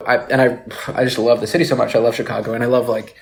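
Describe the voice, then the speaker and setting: A man's voice: medium pitch, little pitch movement, very fast, gravelly. An American man from Texas talking casually as a podcast guest, in a rambling, slangy way full of filler words. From Texas, United States. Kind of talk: casual chat.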